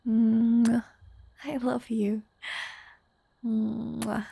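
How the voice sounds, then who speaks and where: A woman acting an affectionate, playful young girlfriend in an intimate audio roleplay, speaking softly and chattily. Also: a little high, little pitch movement, very slow, vocal fry, slightly rough, full character voice. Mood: tender, grateful. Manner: soft, tender, chatty with teasing asides.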